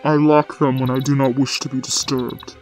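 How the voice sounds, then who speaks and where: A man voicing a proud, aloof dark warrior in a sci-fi comic dub, sardonic and sneering in his delivery. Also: mid-range voice, level tone, moderate pace, soft background music, close to an everyday voice, rough recording. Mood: mocking, contemptuous. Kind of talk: dramatic voice acting in a comic dub.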